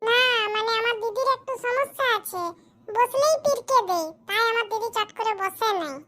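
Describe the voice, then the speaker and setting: Excitable comedy cartoon villager, extremely high pitch-shifted voice, colloquial and complaining. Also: male voice, normal speed, a crowd in the background. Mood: chatty. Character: squeaky, excitable cartoon villager at a matchmaking visit. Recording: clearly noisy audio with clicks.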